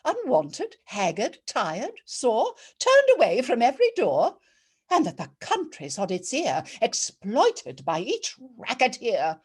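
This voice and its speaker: Woman playing a regal judge, slow and swooping. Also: a little high, huge pitch swings, slightly rough, full character voice. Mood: indignant, declamatory. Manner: declamatory, theatrical, savouring each rhyme. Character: regal, righteous female judge of a bawdy court. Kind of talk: dramatic verse reading, rhyming comic poem.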